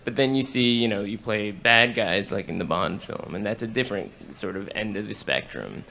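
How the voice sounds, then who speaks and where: A male interviewer asks long, winding questions on a panel. His low voice has a gravelly rasp. A faint room hum sits behind him. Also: moderate pace, bright, forceful tone.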